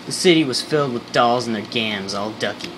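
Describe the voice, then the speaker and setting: A failed soap magnate acted by a man, boastful and bitter, speaking deadpan in period slang. It is an amateur radio skit with a mid-pitched voice and clear background noise. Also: a little fast, lightly acted.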